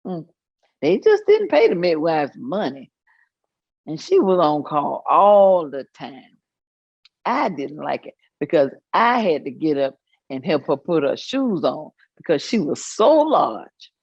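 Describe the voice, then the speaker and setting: A woman's voice: low voice, big pitch swings, measured pace, darkish tone, slightly rough, no echo, dull audio, full character voice. An actress playing an elderly Southern grandmother in a staged reading, reminiscing in a folksy way with thoughtful hums. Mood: plainspoken reminiscing. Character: elderly Southern grandmother recalling her midwife mother. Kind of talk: staged reading of oral history monologue.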